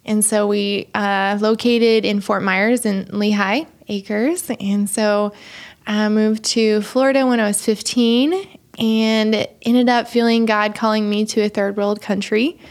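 A woman's voice: medium pitch, flat delivery, a little slow. A woman in her twenties from Haiti, a missionary guest talking earnestly in a casual interview, rambling with many fillers.

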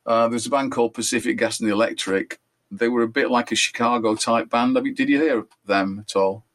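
An older Englishman with a naturally high voice tells long, rambling stories from his days as a musician. He talks casually and keeps correcting himself mid-sentence, speaking at a middle pitch for a man. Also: a little fast, fairly bright tone. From England. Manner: rambling, chatty, self-correcting anecdotes. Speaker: musician guest. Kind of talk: relaxed storytelling interview.

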